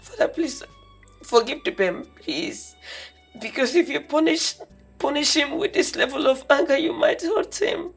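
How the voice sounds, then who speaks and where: A woman's voice: very high-pitched, animated, normal speed, pauses often, soft background music. An actress playing a young princess who pleads tearfully with her parents. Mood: begging. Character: young princess, beaten by her twin brother.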